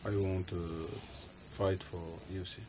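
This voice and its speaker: A man, answering interview questions hesitantly with many pauses. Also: low-pitched, flat delivery, noisy background. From France. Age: 20s. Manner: hesitant, many pauses and fillers, simple phrasing. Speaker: MMA fighter guest. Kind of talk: interview answers in halting English.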